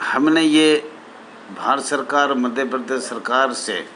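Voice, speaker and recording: A man addressing association members as their leader, deliberate and repetitive, in formal official phrasing. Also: medium pitch, fairly bright tone, a little hiss or hum, poor audio.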